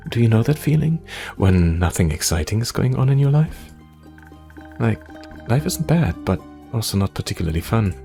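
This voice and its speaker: Man voicing an excitable, anxious young would-be bard. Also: on the low side, moderate pace, creaky, soft background music. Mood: flustered, earnest. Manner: effusive, rambling, self-deprecating.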